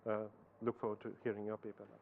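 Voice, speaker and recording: A man's voice: fairly low pitch, monotone, fast, creaky, noisy background. A man chairing an academic conference, speaking with many fillers and restarts before handing over to the next speaker. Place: university lecture hall. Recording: phone-like narrow sound.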